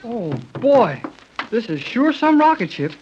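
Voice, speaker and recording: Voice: medium pitch, very animated, measured pace, darkish tone. A performer playing an eager, wide-eyed youngster who gushes in folksy slang in a 1930s radio serial. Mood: eager, amazed. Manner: gushing, slangy exclamations. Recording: dull, noisy 1930s radio recording.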